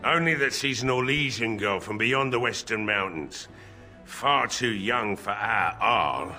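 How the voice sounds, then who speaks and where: A low, forceful voice of a drunken older village blacksmith, sunk in despair. He grumbles bitterly and resignedly, as a fantasy game character, over faint music. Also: a little slow. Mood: regretful, grumbling. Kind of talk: video game voice acting.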